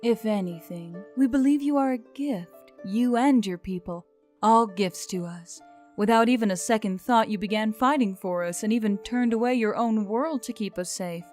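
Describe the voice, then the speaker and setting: A woman voicing a gentle, earnest otherworldly woman in audiobook dialogue, reassuring and measured in formal phrasing. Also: on the high side, soft background music, lightly acted. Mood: tender.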